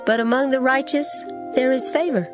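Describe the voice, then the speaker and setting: A woman giving a solemn, measured dramatized reading of scripture. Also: high voice, fairly even intonation. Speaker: scripture reader. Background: music.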